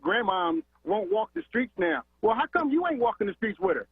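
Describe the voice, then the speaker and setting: A man's voice: sits high, sing-song, brisk, gravelly, slightly rough, no echo. A man from Philadelphia calling into a radio talk show, impassioned, repeating words and firing off rhetorical questions. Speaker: caller. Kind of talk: call-in radio rant. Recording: tinny phone line.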